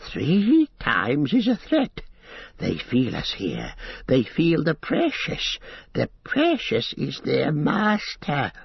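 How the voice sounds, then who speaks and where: A man voicing a wretched, split-minded creature who fawns, whimpers and hisses in a high whine, speaking of himself in the third person in an audiobook. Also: huge pitch swings, slow, vocal fry, slightly rough, dry recording, heavily acted. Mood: whimpering. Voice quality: high whining hiss. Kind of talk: audiobook character voice. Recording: dull lo-fi recording.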